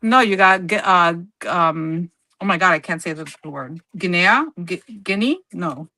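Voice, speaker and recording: A woman's voice: on the low side, moderate pace, pushed and bright, no room sound. A woman whose first language is Spanish, co-hosting a scrapbooking livestream in casual chat, often stopping to search for words and correct herself. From United States. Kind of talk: casual chat while crafting.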